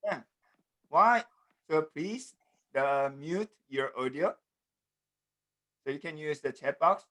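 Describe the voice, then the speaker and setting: A middle-aged man from South Korea, Korean by native language, teaching an online programming class in English with a Korean accent. He talks in halting bits, with many fillers and an often repeated "the". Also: a little high, animated, fairly bright tone. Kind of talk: online class lecture over video call. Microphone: computer mic on a video call.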